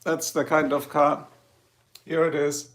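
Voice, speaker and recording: A man's voice: on the high side, little pitch movement, a little slow, a bit bright. A middle-aged man with a German accent, chatting casually with viewers on his livestream and answering their comments. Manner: chatty, answers viewers one by one, chuckles often. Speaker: livestream host.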